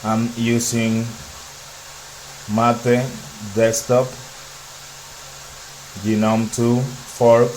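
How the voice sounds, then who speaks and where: Male; very slow, halting tech narration with a faint hum underneath. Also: mid-range voice, level tone, darkish tone, low-quality recording. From Dominican Republic. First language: Spanish. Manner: speaks in short broken phrases with pauses and fillers. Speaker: tech YouTuber.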